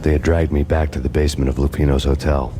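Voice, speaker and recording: An actor playing a grim, hard-boiled cop who narrates in a deadpan, world-weary voice-over over game music. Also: male voice, a bass voice, fast, noisy background. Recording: game soundtrack music behind.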